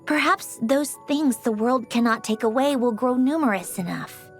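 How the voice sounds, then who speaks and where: A poised, gently haughty young lady from a video game. Her voice sits fairly high and moves in expressive, lilting arcs. She speaks formally and with measured eloquence, thoughtful and precise, with music playing behind her. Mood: wistful, earnest. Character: composed, refined young woman, precise, reflective, gently haughty. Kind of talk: scripted character voice lines.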